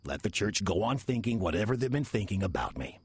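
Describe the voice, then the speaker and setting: An actor playing a sly, smooth-talking demon who poses as a kindly old church deacon, speaking with measured, insinuating courtesy in a horror audio drama. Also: male voice, fairly low pitch, big pitch swings, no room sound. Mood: quietly menacing. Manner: measured, insinuating, mock-polite.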